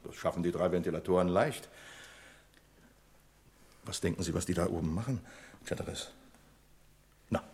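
An actor playing a proud, wry bank official in a radio play, dry and authoritative, quick to turn indignant. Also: male voice, low voice, a little mellow. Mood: wry, assured. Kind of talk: German radio play acting.

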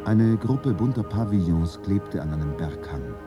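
Low-voiced male narrator over music. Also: expressive. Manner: measured storytelling. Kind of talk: scripted narration of a radio drama.

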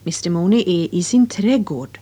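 Woman narrating a kids language lesson, expressive melody, soft dark husky tone. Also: lowish voice. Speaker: presenter of a children's English lesson. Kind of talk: scripted narration and instruction.

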